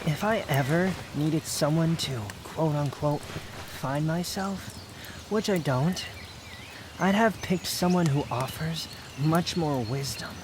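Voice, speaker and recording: A man's voice: a little high, background noise. A man playing a proud, bitter young man, guarded but tender, in an intimate ASMR roleplay with brooding, curt, confessional delivery. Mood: defensive, grudgingly fond. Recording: outdoor nature ambience clearly behind voice.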